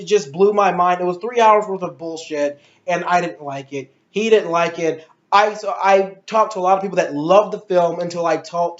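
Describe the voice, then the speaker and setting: A woman giving an opinionated, casual movie review, ranting emphatically with swearing and a rambling, exasperated delivery. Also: mid-range voice. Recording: roomy echo, dull lo-fi sound.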